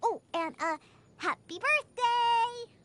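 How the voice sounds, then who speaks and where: Very high bright little cat girl, feisty, proud, pouty, cutesy, unhurried, video game character. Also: big pitch swings, heavily acted. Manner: pouty, bratty, cutesy exclamations.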